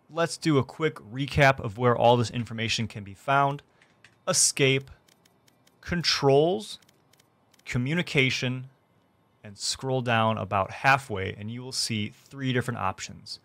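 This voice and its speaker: A young man hosting a gaming tutorial, explaining things clearly step by step from his streaming room. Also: medium pitch, unhurried, pauses often, fairly bright tone, no room sound. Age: young adult. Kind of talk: tutorial narration. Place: small indoor room streaming setup.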